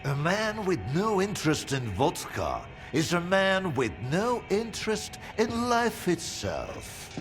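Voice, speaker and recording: An eccentric, boozy old-hand scientist for a video game. His delivery is slow and drawling, with pitch that swings dramatically as he rambles in sardonic philosophy. Music plays clearly behind him. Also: huge pitch swings, fairly bright tone, exaggerated voice. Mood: tipsy musing. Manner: drawling, rambling, musing, with frequent hums. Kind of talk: theatrical video game dialogue.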